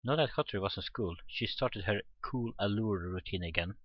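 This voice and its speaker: A man's voice: lowish voice, brisk, dry recording, lo-fi sound. A man reading a visual novel aloud for a let's play, informally, stumbling over the text and adding his own reactions. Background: visual novel game music.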